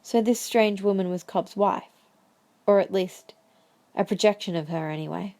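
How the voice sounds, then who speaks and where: A woman's voice: mid-range voice, normal speed, mellow, dry recording. A woman reading scripted story narration for an audio drama.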